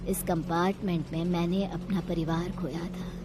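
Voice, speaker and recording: A woman's voice: on the low side, flat delivery, normal speed, noisy background, lightly acted. A woman voicing a mysterious grieving ghost woman, brooding and mournful, in a horror story. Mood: mournful, eerie. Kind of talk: animated horror story character acting.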